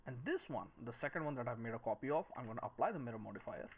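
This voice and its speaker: A man's voice: medium pitch, animated, rapid-fire. A man giving a casual screen-recorded 3D modelling tutorial, talking through each step as he works. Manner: talks through each step while working, muttering counts and shortcuts. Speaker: 3D modelling instructor. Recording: clear background noise, keyboard and mouse clicks. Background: noise with typing and clicking.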